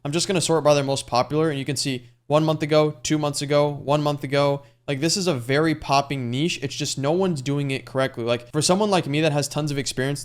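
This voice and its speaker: Fast-talking young male YouTube coach. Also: fairly high pitch. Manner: fast, casual, salesy talk with frequent "like". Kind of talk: screen-share tutorial.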